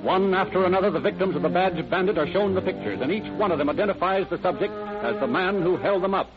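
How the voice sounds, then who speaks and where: A man's voice: sits high, fast. A man giving formal, dramatic scripted narration for an old-time radio crime drama. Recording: dull vintage radio recording with music. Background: music.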